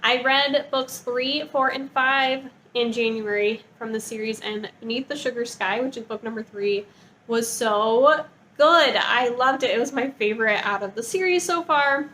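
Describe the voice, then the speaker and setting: A woman's voice: fairly high pitch, normal speed, fairly bright tone, slight background noise, rough recording. A woman talking in a casual, chatty vlog style, with an enthusiastic, gushing and informal manner. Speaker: book review vlogger.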